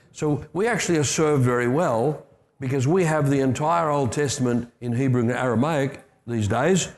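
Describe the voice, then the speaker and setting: A man's voice: mid-range voice, a little mellow, big-room sound. A man preaching a sermon, explaining scripture with emphatic teaching and readings. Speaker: pastor.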